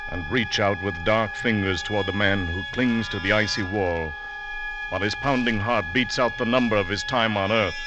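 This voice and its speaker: A man's voice: lowish voice, normal speed, lightly acted, rough recording. A man acting as a grave, ominous narrator of a suspense tale, solemn and literary, in old-time radio style. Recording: loud music under narration.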